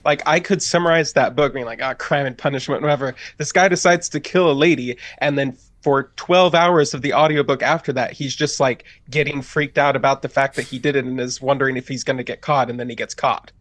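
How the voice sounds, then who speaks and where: A man's voice: mid-range voice, fairly even intonation, a little fast, hardly any pauses, a bit bright, no echo. A man talking casually and giving his opinions as a panelist in a group discussion, often paraphrasing with mock quotes. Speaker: panelist on a reaction podcast.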